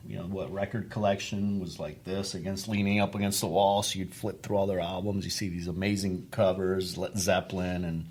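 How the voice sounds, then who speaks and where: A man's voice: low-pitched, level tone, brisk, few pauses, a little mellow, breathy edge. A middle-aged man from Argentina, a lead guitarist, chatting casually and rambling with many restarts. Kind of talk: casual chat.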